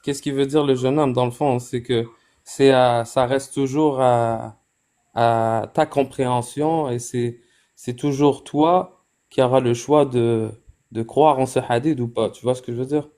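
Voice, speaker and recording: A man in a heated live debate who tries to mediate and explain calmly. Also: medium pitch, measured pace. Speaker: debate participant.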